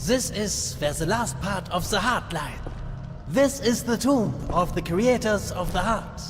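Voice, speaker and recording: An actor playing a megalomaniac video game villain, grandiose, taunting and formal. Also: male voice, high-pitched, animated, measured pace, music in the background. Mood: ominous. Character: megalomaniac villain, grandiose, mocking, theatrical treasure hunter. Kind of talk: video game cutscene acting.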